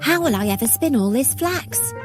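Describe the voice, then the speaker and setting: A woman's voice: high-pitched, expressive, normal speed. A woman playing a young fairy-tale girl in a cartoon story for children. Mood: worried. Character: worried, helpless young girl given an impossible task. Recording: music under the voice.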